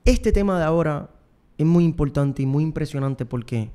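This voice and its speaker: High-voiced young Puerto Rican man hosting. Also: expressive, moderate pace, dark tone, clear, resonant tone. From Puerto Rico. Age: young adult. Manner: rambling, repetitive, self-promoting. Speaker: show host and singer. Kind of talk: improvised comic monologue. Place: studio set, indoors. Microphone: close desk microphone with headphones.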